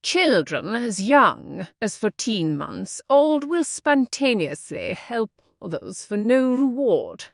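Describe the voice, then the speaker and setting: A woman reading scripted practice passages aloud in a measured, careful way. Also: on the high side, big pitch swings, creaky, dry recording, clean studio sound. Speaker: narrator of exam practice passages. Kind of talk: scripted read-aloud narration.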